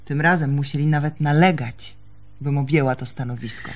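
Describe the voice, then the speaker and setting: Radio drama, proud young jilted barmaid, reproachful, emphatic, over music. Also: female voice, deep voice, fairly even intonation, moderate pace. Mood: cold, defiant. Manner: accusing, emphatic.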